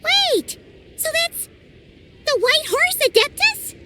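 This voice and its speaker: A tiny excitable fairy companion, all squeaky jumpy exclamations. Her voice sits very high and swoops up and down with every surprise. A fussy little game sidekick who never stays level for long. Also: takes it slowly, full character voice. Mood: startled. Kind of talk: game voice acting.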